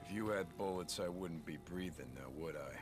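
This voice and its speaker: Man playing a low, gruff American biker survivor. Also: fairly quick, music in the background, lightly acted. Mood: cold, menacing. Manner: muttering, sardonic, swearing. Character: gruff, world-weary male biker drifter and survivor. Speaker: video game protagonist. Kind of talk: naturalistic video game acting.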